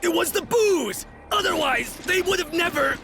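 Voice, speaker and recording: An actor playing a blustering, excuse-making drunkard warrior in a video game cutscene, halting and loud. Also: male voice, very high-pitched, animated, over music. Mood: flustered, defensive.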